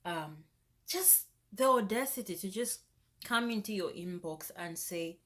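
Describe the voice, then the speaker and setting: A young woman, vlogging in a casual, animated rant that turns indignant. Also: medium pitch, unhurried. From Zambia. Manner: animated, indignant, rises sharply when exasperated. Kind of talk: casual ranting vlog.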